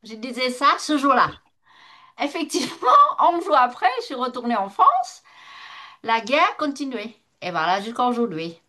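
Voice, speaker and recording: A woman's voice: on the high side, very animated, moderate pace, bright, forceful tone. A retired woman from northern Vietnam, speaking French with a Vietnamese accent, who talks as a guest in an online debate, with many fillers and restarts. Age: older.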